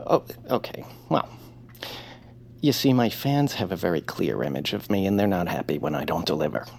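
An actor playing a timid, fussy, self-deprecating radio hero in a comedy audio drama, halting and apologetic. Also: male voice, low voice, lively intonation, creaky, darkish tone, slight background noise. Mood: sheepish, apologetic. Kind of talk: audio fiction comedy acting.

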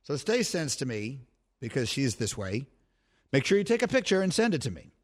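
A middle-aged man hosting a sports talk show, telling stories with animated self-mocking humor. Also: medium pitch, moderate pace. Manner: animated storytelling, laughs at himself.

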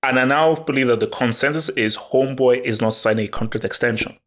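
A man co-hosting a casual football podcast, talking in an animated way and repeating words when he gets excited. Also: medium pitch, fast. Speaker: podcast co-host.

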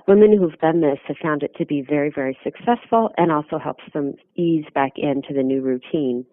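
A woman gives steady, instructional advice as a lactation consultant. Her voice sits fairly low. It comes through dry and narrow, like a phone line. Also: a little fast, no echo. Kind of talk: scripted advice segment.

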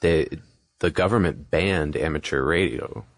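Male co-host, very low voice, informal, halting delivery, casual podcast chat, dry sound. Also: creaky, no echo. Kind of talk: casual conversational podcast talk.

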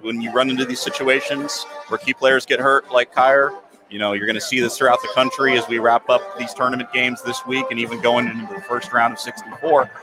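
A man co-hosting a sports talk show, explaining the game in a measured way. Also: mid-range voice, level tone, over music, poor audio. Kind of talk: casual sports analysis.

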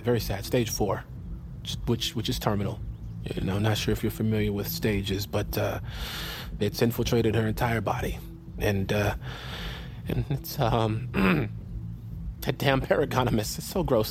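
An actor playing a shifty slacker who fibs in a hesitant, rambling, falsely sincere way, in a comedy audio drama. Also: male voice, fairly low pitch, a little slow, background noise. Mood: nervous bluffing. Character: shifty, fast-fibbing young slacker dodging debt collector.

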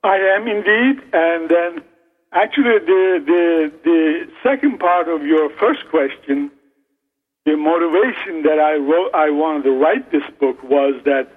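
A man speaks slowly and haltingly, restarting his sentences as he goes. His voice is husky and a little high. He comes through a narrow, phone-like line with a long reverb behind it. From Turkey. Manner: slow, halting, restarts phrases. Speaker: novelist guest. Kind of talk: radio interview. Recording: phone line, echoey hall.

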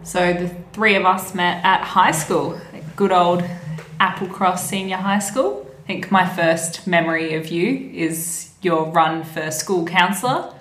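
A woman's voice: lowish voice, unhurried, fairly bright tone. A woman from Perth, born in Scotland, chatting casually as an interview guest. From born in Scotland, grew up in Perth, Australia from age seven. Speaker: interview guest, tour operator. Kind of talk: casual chat. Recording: echoey room, faint background ambience.

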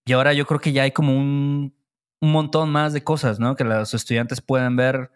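A man's voice: medium pitch, flat delivery, moderate pace, smooth. A man hosting a podcast, asking questions in a friendly, conversational way. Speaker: podcast host. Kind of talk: casual interview questions.

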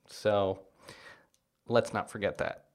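A man in his forties, a comedian guest chatting casually on a podcast, telling animated stories with wry jokes and frequent laughs. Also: fairly low pitch, slow pace.

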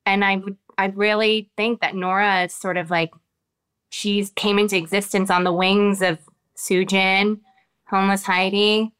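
An American woman, an actress with children of her own, giving reflective interview answers with many pauses and restarts. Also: medium pitch, level tone, a bit bright, clean tone. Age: adult with children. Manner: thoughtful, halting, many restarts and fillers.

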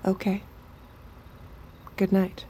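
A woman playing an obedient, observant android escort, speaking in a measured, intimate way in an audio drama. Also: medium pitch, completely flat delivery, mellow, slight background noise. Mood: polite, compliant. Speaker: narrator and lead character.

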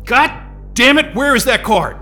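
A man's voice: very high voice, moderate pace, music in the background. A man playing a frantic, hot-tempered, impatient stranded man in a naturalistic audio drama. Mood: frustrated. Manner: excitable, exasperated, muttering and cursing.

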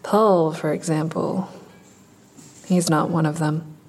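Resigned psych patient, low husky voice, flat and sardonic, audio drama. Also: female voice, slow pace. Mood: dry. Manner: dry, deadpan.

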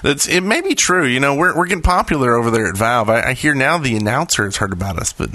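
A man's voice: medium pitch, expressive, quick pace, pushed and bright. A man hosting a gaming podcast in a casual, chatty style. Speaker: podcast host.

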